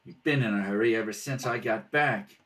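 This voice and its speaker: A man's voice: lowish voice, fairly quick, a bit bright, natural voice. A man playing a jealous, bullying small-time hoodlum in a radio crime drama, sneering and pushing for answers. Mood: needling. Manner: sneering, pushy interrogation. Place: indoors at a kitchen table.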